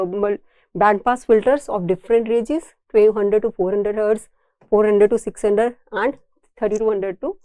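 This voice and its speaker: A woman's voice: mid-range voice, mellow, no room sound. A woman with an Indian accent giving an engineering lecture, explaining slides in a didactic way and restating key points.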